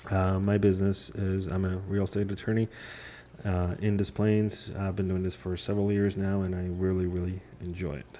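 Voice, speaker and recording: A Polish-born man in his thirties, a native Polish speaker, chatting easily as a podcast guest, laughing often and pausing on fillers. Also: sits low, level tone, mellow. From Poland. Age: 30s. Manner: easygoing, laughs often, many filler pauses. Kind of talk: casual interview chat. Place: home.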